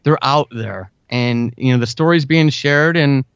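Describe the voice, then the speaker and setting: A man's voice: mid-range voice, a little slow, smooth, no echo. A man in his forties talking casually and earnestly as an interview guest, with an enthusiastic manner. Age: 40s. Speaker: guest, fiction and audio drama writer. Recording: dull, lo-fi band-limited audio.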